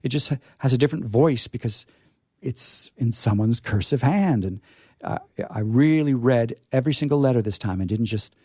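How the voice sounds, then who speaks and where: An American man hosting a radio show, chatting casually and enthusiastically about books in a halting, discursive way with emphatic repetition. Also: medium pitch, dark tone, husky, no echo, clean studio sound. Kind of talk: casual book chat.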